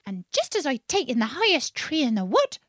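A harried storybook pigeon, a scolding female bird with a very high, swooping voice. She complains indignantly and exclaims with suspicion, the recording close and dry. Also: huge pitch swings, a little fast, a bit bright, no echo, exaggerated voice. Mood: weary grumbling. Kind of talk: storybook character reading.

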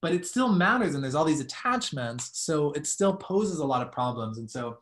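A man's voice: a little high, big pitch swings, normal speed, fairly bright tone. Young Miami man, thoughtful and hedging. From Miami, United States. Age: young adult. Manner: thoughtful, hedging, many "like" fillers. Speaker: poet guest. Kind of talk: conversational Q&A on a video call. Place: small room at home, indoors. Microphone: video call webcam.

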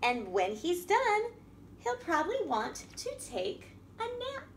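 Storytime lady reading to little kids, really high singsongy voice, slow, bit echoey w some background noise. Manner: expressive, sing-song, addressing young children with questions. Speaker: children's librarian, storytime host. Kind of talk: picture-book read-aloud for young children. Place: indoor room at a wooden table by a window. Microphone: camera across the table.

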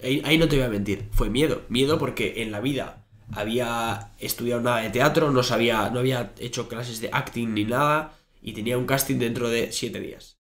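A man's voice: medium pitch, a little fast, a bit bright. A young man, a native Spanish speaker, talking as a podcast guest across a desk, telling stories with many fillers and restarts. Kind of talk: casual interview talk. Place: small office studio. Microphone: desk microphone. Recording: echoey room.

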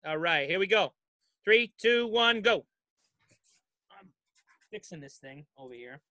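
A man hosts a podcast in a casual, playful way. His voice is high and very bright, with a dry, close sound from a home setup. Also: expressive, unhurried, no echo. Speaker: podcast host. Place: home basement.

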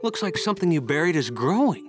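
An actor voicing a kind, patient adult man in a children's cartoon, explaining things gently. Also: fairly high pitch, big pitch swings, brisk, over music.

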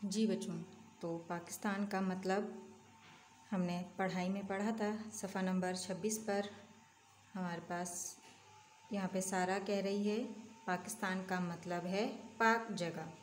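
A woman leads a children's lesson at a steady, patient pace. Her voice sits at a middle pitch and bounces around a small echoey room. A faint hum of room noise stays underneath. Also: slow pace. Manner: patient, question-and-answer teaching. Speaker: teacher. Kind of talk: online lesson for young children. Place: room at a desk, voice over an open textbook.

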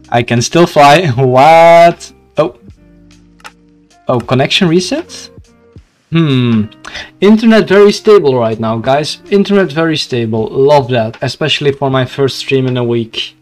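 A young man from Belgium with a Dutch accent, casually commenting on a game for his live chat and often tripping over his words. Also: mid-range voice. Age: young adult. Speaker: video game livestreamer.

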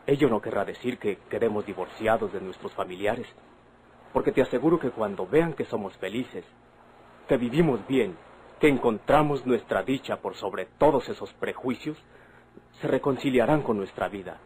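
An actor with a Mexican accent playing an earnest young husband, a bandit's son, persuading his wife in measured, formal radio-drama diction. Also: lowish voice, darkish tone, natural voice. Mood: pleading, earnest. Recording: noisy vintage radio drama recording.

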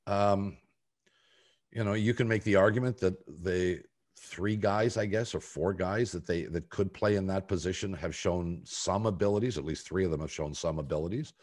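A man in his sixties hosting a sports talk show, speaking in a deliberate, halting way over a video call. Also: deep voice, darkish tone. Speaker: podcast host. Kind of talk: sports talk, moderating a panel. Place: home office, video call.

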